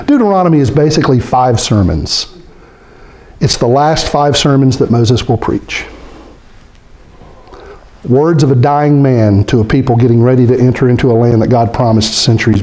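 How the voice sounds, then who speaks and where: A middle-aged man preaching a sermon as pastor, animated and questioning his congregation, dropping low when he quotes scripture figures. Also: moderate pace. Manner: animated, gestures a lot, asks the congregation questions. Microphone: stand mic in front of speaker.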